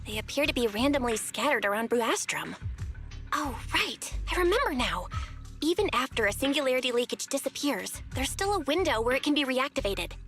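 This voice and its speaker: A woman playing a caring, slightly bossy young woman in a video game, speaking in an expressive, conversational way. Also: high-pitched.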